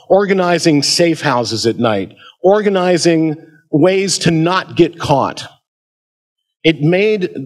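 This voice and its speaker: Male history lecturer, middle-aged, American accent, voice a little higher than average, addressing a conference audience. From American Midwest. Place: auditorium at a museum, at a podium.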